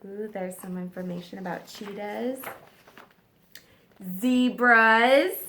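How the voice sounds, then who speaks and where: A woman teacher reading a nonfiction book aloud to children, stressing key words and pausing for simple questions and explanations. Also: mid-range voice, fairly bright tone, a little hiss or hum.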